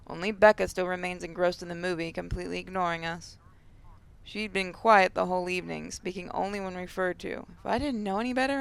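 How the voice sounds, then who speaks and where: A woman hosting a gaming channel, reading visual novel narration aloud as expressive first-person storytelling. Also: lowish voice, vocal fry, clean tone.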